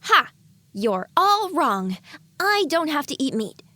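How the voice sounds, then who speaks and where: A woman's voice: high voice, big pitch swings, slow, sharp tone, no echo, full character voice. A woman voicing an anxious but hopeful young filly pony in an audio drama, questioning herself as she thinks aloud. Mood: perky, muttering.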